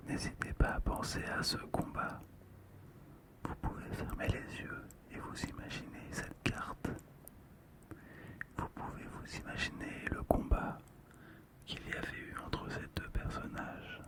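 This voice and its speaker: Casting: ASMR host, breathy whisper throughout, unhurried and soothing, close-mic narration. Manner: slow, gentle, soothing delivery. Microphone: close ASMR microphone, monitored on headphones.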